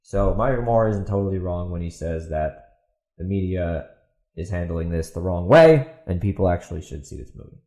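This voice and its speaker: A man's voice: deep voice, animated, normal speed, dark tone, reverberant. A man hosting a podcast, giving opinionated commentary in a sarcastic, emphatic way and repeating himself for stress. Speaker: podcast host and author.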